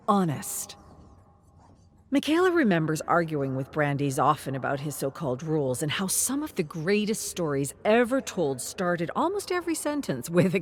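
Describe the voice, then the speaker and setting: A woman reading a scripted story in a dramatic, deliberate way, at times dropping to a hushed tone. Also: on the low side. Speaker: narrator.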